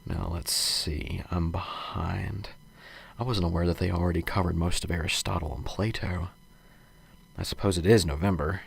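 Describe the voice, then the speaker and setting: A man's voice: low-pitched, steady tone, normal speed, vocal fry, husky, full character voice. A man playing a nervous, unsure college professor who mutters to himself in a radio drama. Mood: weary self-doubt. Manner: subdued, hesitant muttering.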